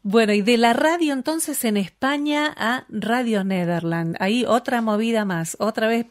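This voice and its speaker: A woman's voice: on the high side, normal speed, dry recording, studio quality. A woman interviewing a guest, encouraging him with short affirmations and prompting his stories. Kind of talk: casual interview.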